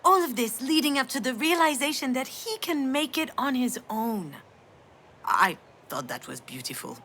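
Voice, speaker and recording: A woman playing a sardonic, self-blaming yet tender mother in a dramatic video game, frank and emotionally expressive. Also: high voice, normal speed, a little hiss or hum, exaggerated voice. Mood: wry enthusiasm. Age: adult.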